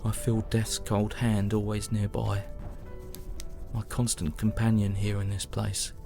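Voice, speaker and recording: Over clear music, a low-voiced young British soldier played by a man narrates his war journal in an audio drama. He is weary and grieving, solemn and measured, and his pitch barely moves. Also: monotone. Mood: bleak, weary. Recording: music behind the voice.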